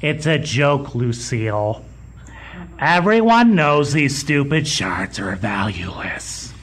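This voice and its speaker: Pompous fussy boss in audio comedy, stammery, slow, voice swings all over. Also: male voice, medium pitch, very animated, a bit bright, heavily acted. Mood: scornful. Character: fussy, condescending, bald little museum boss. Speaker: museum supervisor. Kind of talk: comedic audio drama acting.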